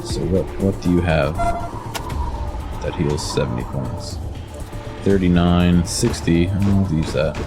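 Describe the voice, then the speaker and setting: A man's voice: low-pitched, takes it slowly, pauses often. An American man with a slight lisp streaming a video game, chatting casually and answering viewers with lots of hesitant fillers. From United States. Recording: game music playing behind.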